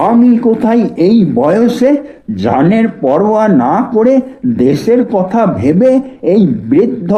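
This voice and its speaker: A man playing an indignant, self-righteous elderly liquor buyer who scolds and justifies himself in a comedy cartoon. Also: high-pitched. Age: older. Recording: dull, muffled lo-fi audio.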